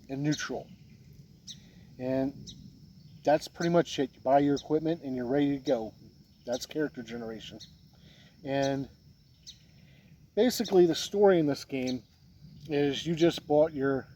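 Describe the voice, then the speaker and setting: A man giving an unhurried, deliberate review talk to camera, pausing often mid-sentence. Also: on the high side, dark tone. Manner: deliberate, halting delivery with pauses mid-sentence. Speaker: tabletop game reviewer. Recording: windy noise, outdoor-sounding ambience. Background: wind noise.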